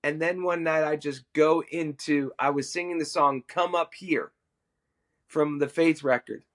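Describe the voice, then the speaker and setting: A middle-aged man, a worship musician and podcast guest, telling a personal story in an animated, self-amused way. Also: on the high side, measured pace.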